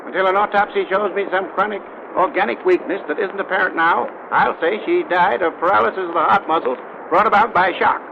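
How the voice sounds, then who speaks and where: An actor playing a clinical coroner in an old radio drama, formal and emphatic. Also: male voice, sits high, fairly quick, gravelly. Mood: grave, certain. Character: formal, clinical coroner doctor giving his verdict. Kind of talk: 1930s radio drama acting. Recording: dull, noisy old radio broadcast recording.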